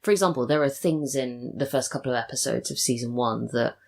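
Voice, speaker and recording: A woman's voice: a bass voice, brisk, gravelly, darkish tone. An English woman chatting casually as a podcast guest. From England. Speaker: podcast guest, audio drama writer and voice actor. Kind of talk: casual chat.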